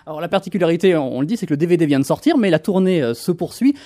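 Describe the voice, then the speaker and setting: Fast-talking male interviewer with many fillers. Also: fairly high pitch, lively intonation, dark tone. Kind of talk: interview questions.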